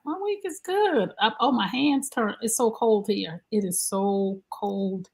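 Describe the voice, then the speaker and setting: A woman, hosting a live-streamed relationship talk show in a chatty, animated way. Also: medium pitch, a little slow, slightly rough. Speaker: host.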